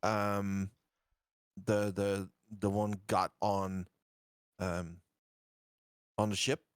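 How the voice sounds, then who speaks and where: Stammering low-voiced man. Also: flat delivery, drawn-out words, clean tone, no echo, clean studio sound. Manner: stammering, repeats words. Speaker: player. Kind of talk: casual game chat.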